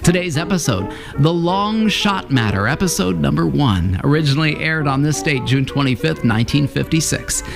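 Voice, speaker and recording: A man reads scripted presenter narration. His voice is slightly high with noticeable vocal fry, and music plays clearly underneath. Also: lively intonation, normal speed, hardly any pauses.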